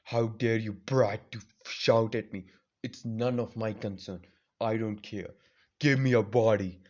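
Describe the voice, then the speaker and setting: A man voicing an arrogant fox demon, loud and contemptuous. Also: fairly low pitch, steady tone, unhurried, muffled recording, lightly acted.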